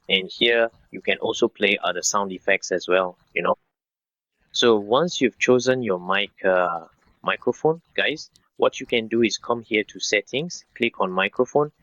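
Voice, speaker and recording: A man in his thirties narrating a step-by-step software tutorial in an instructional way, speaking directly to viewers. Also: on the low side, no room sound, muffled recording. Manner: instructional, addresses viewers as guys.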